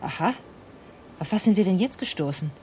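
A woman's voice: on the low side, expressive, fairly quick, dark tone, breathy edge. An actress playing a defiant female doctor held hostage in a radio drama, sarcastic, biting and taunting. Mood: scornful, taunting.

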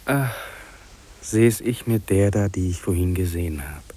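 Brooding young lover, dark low voice, hushed, hesitant, musing to himself, 1950s radio drama. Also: male voice, expressive, normal speed, breathy edge. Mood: wistful. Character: brooding lovesick young city man, thinking to himself.

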